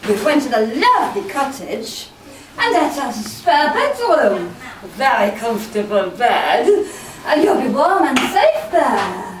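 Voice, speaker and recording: A woman playing a bossy, excitable musketeer in an amateur Christmas pantomime, exclaiming hammily. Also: high-pitched, slow pace, exaggerated voice. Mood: eager, welcoming. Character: bossy, excitable male French musketeer in comic squabbles. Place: live amateur stage in a hall. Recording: echoey hall, distant mic, clear noise.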